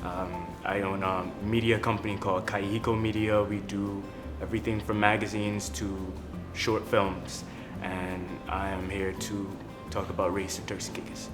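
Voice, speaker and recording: A man, an attorney, introducing himself and speaking in a measured, deliberate way on a panel. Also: low voice, little pitch movement. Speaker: attorney and entrepreneur. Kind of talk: discussion. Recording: music playing behind.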